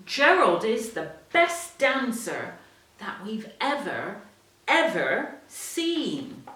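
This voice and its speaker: A woman voicing a crowd of jungle animals, loud and exclaiming, as in a storybook reading. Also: a little high, sing-song, drawn-out words, heavily acted. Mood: amazed. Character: sneering crowd of jungle animals, mocking then awestruck. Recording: echoey room, distant mic.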